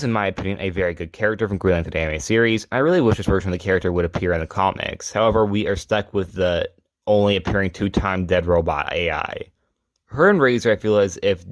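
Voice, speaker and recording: Low-voiced man narrating a retrospective. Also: dry recording. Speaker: host of a character retrospective video series. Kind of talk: informal scripted retrospective narration.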